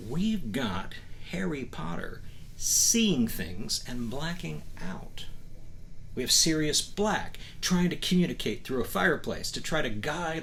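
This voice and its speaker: Guy hosting a book reading, mid pitch, really emphatic with big pauses, bit of room noise behind him. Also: fairly bright tone. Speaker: host and book reader. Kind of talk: enthusiastic talk to camera and reading aloud from a novel.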